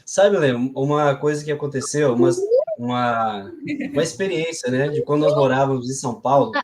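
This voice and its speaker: A man's voice: mid-range voice, normal speed, darkish tone. A man with a Brazilian accent hosts a live podcast in a casual, conversational style. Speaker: podcast host.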